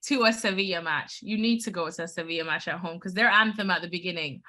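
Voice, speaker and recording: Bright-voiced woman football host. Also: on the low side, quick pace. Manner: chatty, enthusiastic, many fillers. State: slightly hoarse. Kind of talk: casual football chat.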